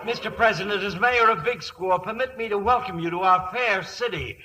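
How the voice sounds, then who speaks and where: An actor playing a flustered, long-winded, speechifying small-town mayor in an old-time radio comedy. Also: male voice, sits high, a little fast, natural voice. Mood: pompous. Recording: hissy old radio recording.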